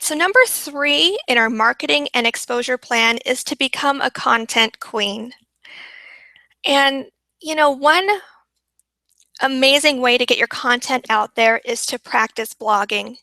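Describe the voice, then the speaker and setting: Upbeat woman teaching a webinar, voice fading from a long week, crisp bright tone, dead-dry sound. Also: high voice, dry recording, rough recording. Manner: upbeat, repeats for emphasis. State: losing her voice. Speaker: webinar presenter.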